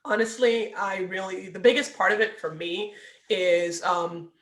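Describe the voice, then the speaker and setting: A woman's voice: mid-range voice, steady tone, measured pace, fairly bright tone, reverberant. A woman from Trinidad and Tobago talking earnestly about leadership as an interview guest. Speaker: guest, real estate team leader. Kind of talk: casual interview over video.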